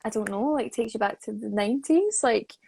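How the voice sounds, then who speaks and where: A woman's voice: a little high, lively intonation, a little fast, vocal fry, a little mellow, husky, no room sound. A woman in her twenties hosting a casual live online chat, emphatic and often repeating her phrases. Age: 20s. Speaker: interview host, associate producer.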